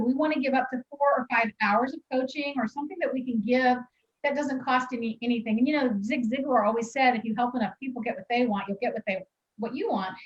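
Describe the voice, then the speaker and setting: A woman from Kansas with a Kansas accent, hosting an interview as a business coach and chatting in a friendly, relaxed way. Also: a little high, dull audio. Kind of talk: interview over video call.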